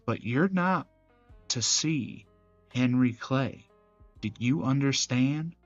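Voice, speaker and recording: A man performing scripted dramatic dialogue from a visual novel in a measured, serious manner. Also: medium pitch, fairly bright tone, no room sound, lo-fi sound. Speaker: gaming channel creator voicing every character. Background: game music.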